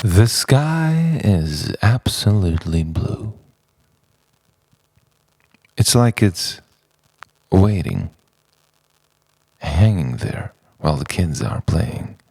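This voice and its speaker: A man delivering an introspective, poetic spoken-word monologue in deliberate, halting phrases with dramatic pauses, his voice gravelly and sometimes sinking toward a whisper. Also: sits low, sing-song, darkish tone, no room sound, low-quality recording.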